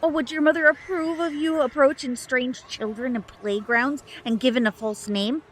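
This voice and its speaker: An actress playing a fiercely protective, suspicious mother in an audio drama, speaking in a stern, sarcastic, deliberate way. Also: sits high, fairly even intonation, a little hiss or hum, natural voice.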